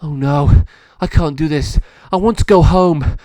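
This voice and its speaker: Man as a gentle, earnest stray dog. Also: on the high side, measured pace, no echo, voice pushed up, lightly acted. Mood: despairing. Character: gentle, good-hearted young male stray dog.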